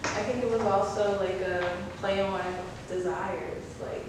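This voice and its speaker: A woman's voice: mid-range voice, steady tone, unhurried. Woman, very echoey and distant. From Georgia, US. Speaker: actor in a cast discussion. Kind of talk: casual reflective discussion. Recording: very echoey room, distant noisy mic.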